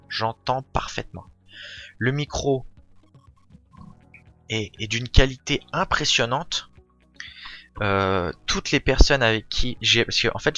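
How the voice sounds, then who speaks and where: Casual male reviewer, bright forward tone, tentative think-aloud delivery, music underneath. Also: medium pitch. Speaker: product reviewer. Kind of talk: casual unboxing and review talk.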